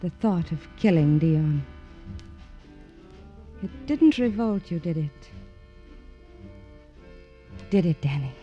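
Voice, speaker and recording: Moody femme fatale, intimate, questioning, fragmented phrasing, vintage radio noir, faint music behind. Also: female voice, on the low side, expressive, slow, pauses often, dull audio. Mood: probing, seductive. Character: moody, seductive femme fatale, lonely and probing. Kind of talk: 1950s radio noir acting.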